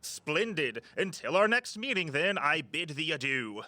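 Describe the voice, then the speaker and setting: An actor playing a playful, theatrical tour guide with a flowery turn of phrase in an audio drama. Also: male voice, a little high, big pitch swings, a little slow, background noise. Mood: grandiose, playful. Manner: grandiose mock-archaic speech.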